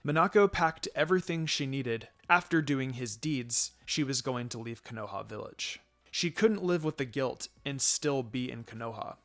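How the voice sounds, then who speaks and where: A man reads fan fiction aloud in a storytelling narration. His voice sits at a middle pitch as he delivers scripted prose. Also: expressive, moderate pace, a bit bright, faint music behind.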